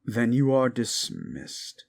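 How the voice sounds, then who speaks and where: An actor playing an unhinged, paranoid, possessive young prince who speaks with soft menace, breaks into unsettling laughter and drops into whispers. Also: male voice, mid-range voice, wildly swooping pitch, slow pace, creaky, exaggerated voice. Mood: menacing. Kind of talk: audio roleplay drama.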